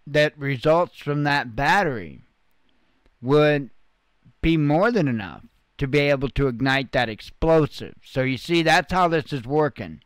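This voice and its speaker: A man's voice: mid-range voice, a bit bright, smooth, no echo. A man giving informal news commentary as a channel host, explaining things in a folksy, plain-spoken way.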